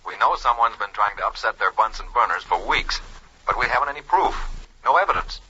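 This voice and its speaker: An actor playing a firm, urgent government investigator in a 1950s radio drama. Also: male voice, mid-range voice, animated, fairly quick, pushed and bright. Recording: harsh, noisy, dull old radio.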